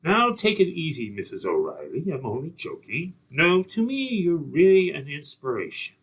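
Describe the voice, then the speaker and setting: A man playing a mischievous, flattering older professor with a husky voice in an old-time radio comedy. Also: on the high side, normal speed, full character voice. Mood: teasing.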